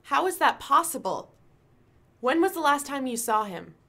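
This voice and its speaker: A woman's voice: high voice, very animated, moderate pace, sharp tone, heavily acted. A woman playing an anxious, shaken young woman in a radio drama. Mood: alarmed, demanding. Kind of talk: radio play acting.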